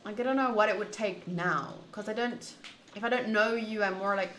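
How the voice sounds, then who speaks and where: A woman with a bright, crisp-edged voice does casual visual novel commentary, switching between reading aloud and chatting. The sound is roomy and echoey, with faint noise behind her. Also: mid-range voice, normal speed. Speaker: streamer playing a visual novel.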